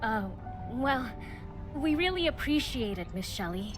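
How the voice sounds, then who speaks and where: A woman's voice: a little high, expressive, measured pace. An actress playing a polite, appreciative young female staff worker in an anime game. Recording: background music under the voice.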